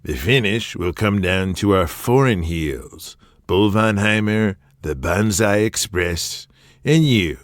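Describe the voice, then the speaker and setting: A domineering wrestling promoter who blusters and curses through every line, as a man puts him on. His voice sits very low and he talks slowly. The dry, close sound of an audio drama frames his bossy growl. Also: lively intonation, no echo. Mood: scheming. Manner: blustering, swears heavily. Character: gruff aging male wrestling promoter, profane and domineering.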